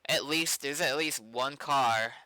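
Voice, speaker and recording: A man's voice: mid-range voice, slow pace, bright, forceful tone, dry recording, poor audio. A man with an American accent chatting casually and loosely, in a hesitant, rambling way, like a developer streaming while he works. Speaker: game developer live-streaming.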